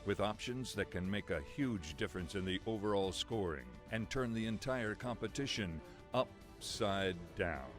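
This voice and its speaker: A man reading formal scripted narration for a sports broadcast, measured and even. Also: on the low side. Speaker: announcer. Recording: voice-over with music bed. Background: music.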